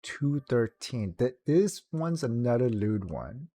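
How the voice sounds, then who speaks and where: Very low-pitched, dark-voiced woman presenter. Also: big pitch swings, slow, dry recording. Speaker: video presenter. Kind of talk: casual showcase narration.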